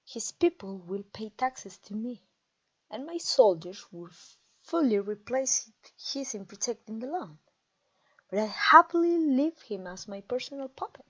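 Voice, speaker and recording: A conquering vampire queen, acted by a woman, speaks in a fairly high voice and takes her time over every phrase. She is haughty and mocking, toying with her captive in theatrical roleplay style. The recording is slightly dull and lo-fi. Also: very animated, a bit bright, slightly rough, exaggerated voice. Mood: coolly threatening. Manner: pompous, teasing, drawn-out delivery. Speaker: audio roleplay voice actor. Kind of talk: theatrical ASMR roleplay acting.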